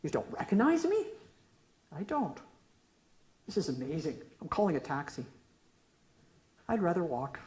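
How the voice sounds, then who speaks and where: Low, mellow-voiced woman poet reading aloud. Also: wildly swooping pitch, normal speed, pauses often. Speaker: poet reading her work. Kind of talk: poetry reading. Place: lecture room at a reading. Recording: echoey room.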